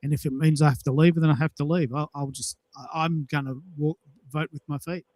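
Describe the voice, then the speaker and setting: An Australian man hosts a casual show with an enthusiastic, emphatic way of talking. His voice sits a little high for a man. The sound is dry, with very little room in it. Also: fairly even intonation, a little fast, darkish tone, dry recording. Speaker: show host. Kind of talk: casual chat.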